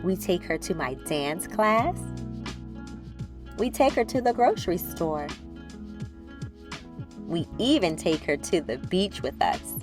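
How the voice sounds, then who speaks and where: An eager little girl played by a woman tells her storybook tale as a proud, loving big sister. The delivery is expressive and simple, with clear music behind it. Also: lowish voice, takes it slowly, long pauses. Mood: happy, proud. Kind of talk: children's storybook read-aloud.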